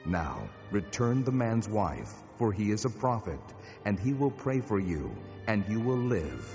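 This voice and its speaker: A man's voice: fairly low pitch, very animated, unhurried. A man playing a stern, commanding God in a Bible audio drama, speaking with solemn authority. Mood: stern warning. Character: God, stern commanding divine judge in a dream. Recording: long cavernous reverb, dull audio, music.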